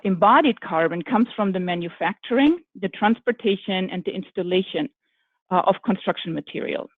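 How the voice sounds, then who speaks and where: A woman with a German accent, an architecture firm executive speaking on a panel in a measured way, with frequent ums and self-corrections. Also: on the low side, no room sound. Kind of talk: panel talk. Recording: dry, phone-like video call audio.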